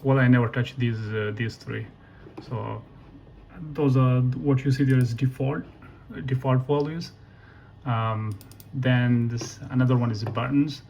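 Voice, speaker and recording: A man giving a casual, unscripted software walkthrough in a halting way, thinking aloud and correcting himself. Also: medium pitch, steady tone, vocal fry, lo-fi sound. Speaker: tutorial presenter. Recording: noisy room with keyboard typing. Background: computer keyboard clicks, ambient noise.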